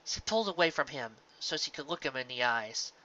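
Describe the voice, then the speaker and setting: Male storyteller, mid-pitched, sharp tense tone, emotive dramatic reading, lo-fi home sound. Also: expressive, a little fast. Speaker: narrator. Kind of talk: dramatic scripted fan-fiction narration. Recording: dull lo-fi home recording.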